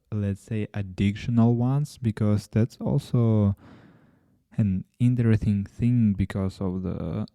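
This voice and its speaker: Slow, mellow Ukrainian-accented man. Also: lowish voice, clear, resonant tone, no room sound. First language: Ukrainian and Russian. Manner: halting, many pauses and restarts, non-native grammar. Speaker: podcast host. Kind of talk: casual solo podcast talk.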